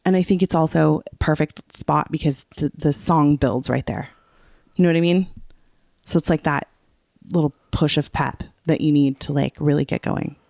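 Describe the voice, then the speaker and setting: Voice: mid-range voice, steady tone, normal speed, creaky, darkish tone, no room sound, studio quality. A podcast co-host chatting casually, explaining song lyrics and often checking that the listener follows. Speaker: teacher.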